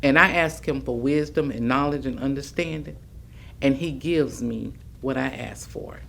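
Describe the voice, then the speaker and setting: An actress playing a steadfast older civil rights veteran, plainspoken and deliberate, in a staged reading with a creaky voice. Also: female voice, rumbling low, a bit bright, a little hiss or hum, exaggerated voice. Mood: reflective, resolute. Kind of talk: staged reading of oral history testimony.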